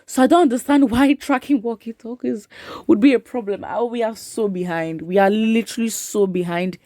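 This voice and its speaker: A woman's voice: on the high side, moderate pace, warm tone, no echo, studio quality. A woman giving opinionated news commentary as host, exasperated, with emphatic repetition and rhetorical questions. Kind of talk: opinionated commentary, reading viewer comments aloud.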